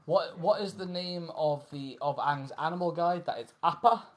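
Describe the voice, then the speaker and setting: A man's voice: on the high side, normal speed. A Scottish man hosting a casual live-streamed fan quiz, chatting and reading out questions. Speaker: quiz host.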